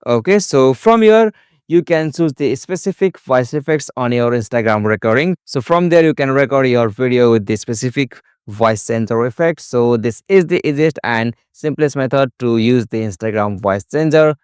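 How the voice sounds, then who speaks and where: A man narrates a tutorial step by step in a clean, smooth voice. The sound is close and dry, with no room around it. His pitch sits in an ordinary middle range, and his phrasing is formulaic and repetitive. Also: animated, normal speed, darkish tone, no echo.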